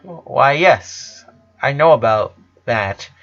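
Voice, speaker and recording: Man as eleven-year-old boy, gentle, earnest, caring brother, storybook reading, slightly dull recording. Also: mid-range voice, lively intonation, slow pace. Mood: defensive, embarrassed. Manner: reassuring, earnest.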